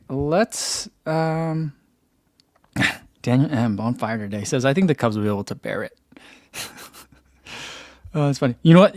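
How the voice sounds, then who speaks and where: Man, young adult, soothing voice, relaxed chat, desk mic, dry room. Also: medium pitch, dry recording. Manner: relaxed, chatty, laughs easily, many fillers. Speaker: running live stream host. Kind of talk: casual live stream chat reading comments. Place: home room. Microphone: desk microphone by computer.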